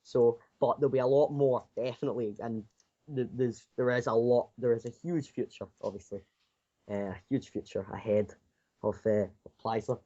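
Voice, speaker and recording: A man's voice: mid-range voice, fairly even intonation, moderate pace, mellow, dull audio. A sixteen-year-old male game developer giving casual, unscripted interview answers, rambling and often repeating his phrases. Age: 16, teenager. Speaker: self-taught indie game developer being interviewed.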